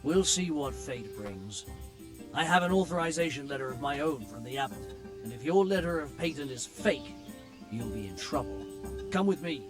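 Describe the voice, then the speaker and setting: An actor playing a suspicious, officious medieval official in a video game, speaking in a dry, measured, sceptical way. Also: male voice, fairly high pitch, animated, music in the background, lightly acted. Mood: wary, grudging. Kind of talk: video game cutscene acting.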